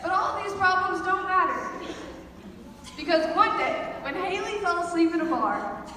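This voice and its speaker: Young female student for a comic stage monologue, high voice, captured from far off in a reverberant, noisy theater. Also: normal speed, pushed and bright. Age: teen or young adult student. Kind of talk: performed comic monologue to an audience. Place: school theater stage, live audience. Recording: echoey hall, far from mic, noisy.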